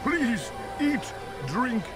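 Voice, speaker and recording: An actor playing a rich, bitter lord in a game cutscene, speaking in grandiose oratory with dramatic pauses and quiet menace. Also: male voice, very high voice, big pitch swings, heavily acted. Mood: falsely gracious. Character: bitter, theatrical, wealthy merchant lord hosting a feast, menacing. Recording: loud music and crowd behind.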